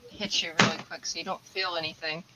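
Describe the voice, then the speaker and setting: A woman's voice: lowish voice, level tone, fast talker, heavy background noise. A woman whose first language is English, a tarantula keeper chatting casually as a guest on a live stream and explaining things at length. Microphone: video call.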